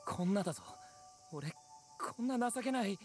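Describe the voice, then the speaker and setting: A man's voice: sits high, lively intonation, quick pace, a little mellow. An actor playing a distraught, bitter yet earnest young man in a Japanese anime drama. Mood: tender, vulnerable. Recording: anime soundtrack with music behind.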